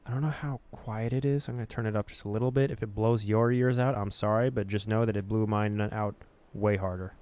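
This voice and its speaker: A man reading a horror visual novel aloud as a gaming host, with expressive storytelling and casual delivery. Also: fairly low pitch, brisk, hardly any pauses.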